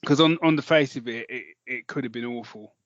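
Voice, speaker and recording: A man's voice: mid-range voice, brisk, dry recording, muffled recording. A man, co-hosting a podcast in casual, jokey, rambling chat with some swearing. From London, England. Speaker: podcast co-host.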